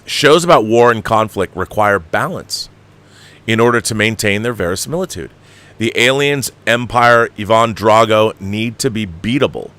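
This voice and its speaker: A man hosting a film commentary livestream, talking casually and bluntly in an emphatic, halting, opinionated way, repeating himself for emphasis. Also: lowish voice, fairly bright tone. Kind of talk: casual opinionated rant, answering viewer comments.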